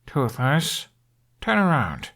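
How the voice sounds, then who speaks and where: A man's voice: medium pitch, wildly swooping pitch, takes it slowly, gravelly, a bit bright, voice pushed up, full character voice. A man voicing an exasperated, sarcastic young male traveller with a dramatic way of talking in a storybook. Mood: scolding.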